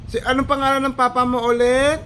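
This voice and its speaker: A woman's voice: high voice, flat delivery, a little fast, fairly bright tone, a little hiss or hum. A woman with a Filipino accent chatting playfully with a small child, asking coaxing questions and repeating the answers back. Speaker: parent vlogger making a prank call. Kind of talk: casual playful phone chat with a small child.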